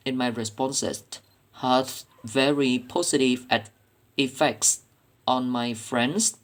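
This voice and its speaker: A man from Vietnam with a Vietnamese accent, a native Vietnamese speaker, giving a prepared student presentation in a careful, halting, earnest manner. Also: mid-range voice, a bit bright. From Vietnam, Ho Chi Minh City.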